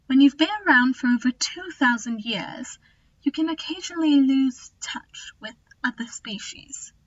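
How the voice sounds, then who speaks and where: A woman's voice: high voice, lively intonation, a little slow, dull audio. A woman playing a clever, resolute alien Time Lord who looks thirty, composed and explanatory, in a fan audio drama. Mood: wry, gentle.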